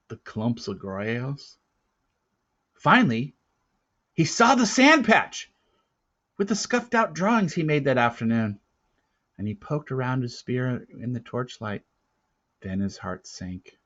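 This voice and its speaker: A man reading a storybook aloud as a dramatic narrator, with deliberate, theatrical phrasing and a casual touch. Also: medium pitch, a bit bright, dull audio. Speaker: storybook reader.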